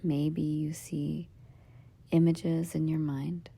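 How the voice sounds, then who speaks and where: A woman guiding a meditation as a yoga nidra teacher, in a soft, breathy, husky half-whisper, giving gentle, soothing instructions in short phrases with pauses. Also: low voice, little pitch movement. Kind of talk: guided meditation.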